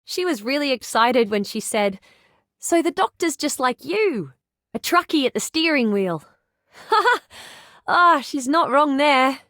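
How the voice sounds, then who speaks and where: A woman's voice: high-pitched, lively intonation, measured pace, pushed and bright, no echo, clean studio sound, exaggerated voice. A woman voicing an easygoing, friendly young truck driver in a game. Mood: amused, friendly. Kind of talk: game character voice acting.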